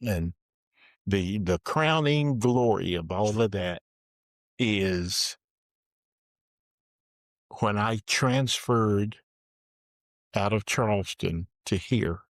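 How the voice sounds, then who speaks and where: An older man being interviewed, slowly and plainly telling the story of his working life and dropping his voice for asides. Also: lowish voice, dry recording, studio quality. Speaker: interviewee recounting his career. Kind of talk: slow, deliberate personal storytelling.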